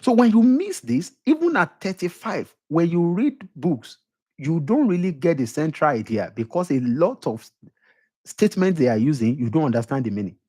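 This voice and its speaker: A man's voice: fairly high pitch, lively intonation, normal speed, a little mellow. A middle-aged man with a Nigerian accent giving an emphatic motivational lecture, repeating his points and putting questions to the audience. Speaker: motivational speaker.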